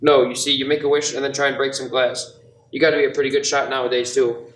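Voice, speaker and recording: A man's voice: mid-range voice, little pitch movement, fast, a bit bright, lightly acted. A young man acting an eager, restless small-town dreamer in an amateur radio play, earnest and prone to bashful stammering. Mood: eager, boastful. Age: young adult. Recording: roomy, distant mic, music underneath.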